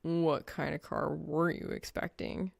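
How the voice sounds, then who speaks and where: A woman's voice: sits low, level tone, measured pace, vocal fry, no room sound, full character voice. A woman voicing a dry, grumpy young male gamer with a sardonic drawl in an audiobook story.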